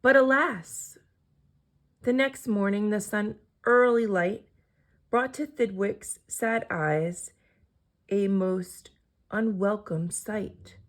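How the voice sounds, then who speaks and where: A woman reading a children's picture book aloud as an expressive storyteller. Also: medium pitch, slow.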